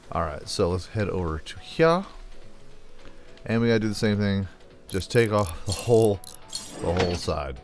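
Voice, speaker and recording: A man with a slightly low voice chats through a mechanic game. He jokes with the parts he removes, and his pitch swings a lot. Game music plays clearly under his voice. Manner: joking, chatty, talks to the game parts. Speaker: gameplay commentator. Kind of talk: casual let's play commentary.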